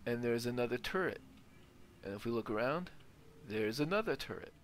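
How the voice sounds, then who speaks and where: Man, creaky mid-range voice, casually talking himself through a game over faint background ambience. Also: medium pitch, animated, normal speed, a little hiss or hum. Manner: thinking aloud. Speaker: gamer narrating own playthrough. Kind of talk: casual gameplay commentary.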